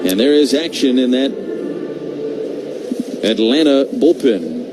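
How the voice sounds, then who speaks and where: Male baseball radio announcer, mid-pitched, conversational yet excitable, clear stadium noise behind. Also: slow pace. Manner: excited home-team calls, conversational between pitches. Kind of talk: live radio play-by-play commentary. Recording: noisy live radio broadcast feed.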